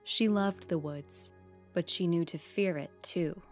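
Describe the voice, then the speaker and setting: A woman's voice: lowish voice, unhurried, soft background music. A woman storyteller reading a scripted fairy tale with a horror bent.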